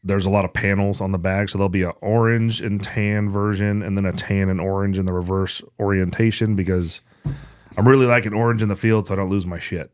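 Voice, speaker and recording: A man's voice: on the low side, fairly even intonation, brisk, smooth, dry recording, clean studio sound. A man hosting a podcast, talking casually about gear in a blunt, joking, sweary way. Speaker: podcast host.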